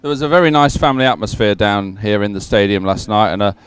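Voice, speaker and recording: Male sports studio host. Clean, smooth voice, close dry sound, chatty and lightly joking. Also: on the low side, fast talker, dry recording. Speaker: host and commentator. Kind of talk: casual live sports studio chat and commentary.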